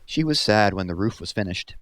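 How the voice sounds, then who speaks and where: A man narrating a story aloud as a scripted read-aloud, with measured, expressive phrasing. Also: fairly low pitch.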